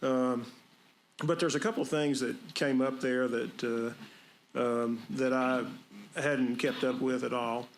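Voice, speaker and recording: A man from the audience asks casual, halting questions at a public meeting. His voice is gravelly, with a faint hum of the room behind him. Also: medium pitch, fairly even intonation. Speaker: audience member asking questions. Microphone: speaking away from the microphone.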